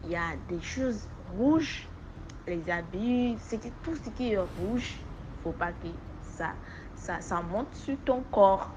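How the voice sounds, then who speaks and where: A woman from Togo with a West African accent, speaking French as an additional language and giving an informal testimony in an animated storytelling way. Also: mid-range voice, slow, lo-fi sound, noisy background. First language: not French. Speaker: testimony giver. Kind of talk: informal spoken personal testimony.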